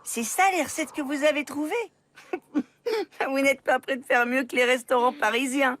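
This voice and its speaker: A woman's voice: high-pitched, steady tone, normal speed, a bit bright. A woman voicing a cheeky, mocking schoolkid in a cartoon. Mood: teasing. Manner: teasing.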